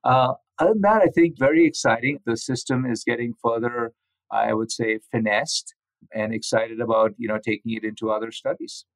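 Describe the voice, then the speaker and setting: A man's voice: mid-range voice, normal speed, dry recording, poor audio. A man speaking as a physician guest in an interview, explaining technical research with enthusiasm.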